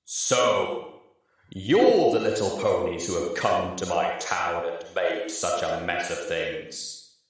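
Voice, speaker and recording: A man voicing a menacing crime boss with a deep, rumbling voice under a booming reverb effect. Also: expressive, takes it slowly. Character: menacing, slow-talking male crime boss monster. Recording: very echoey, distant-sounding voice.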